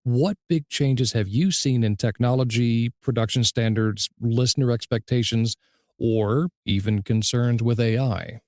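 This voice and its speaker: A man's voice: mid-range voice, measured pace, darkish tone, no room sound, clean studio sound. A man hosting a podcast interview, speaking conversationally in fluent, orderly phrases. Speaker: podcast host, audiobook narrator.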